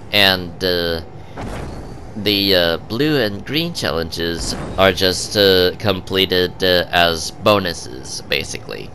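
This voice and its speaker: A man giving casual gameplay commentary, halting with many ums and uhs, sniffly from a cold. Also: deep voice, bright, forceful tone, a little hiss or hum. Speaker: gaming channel host.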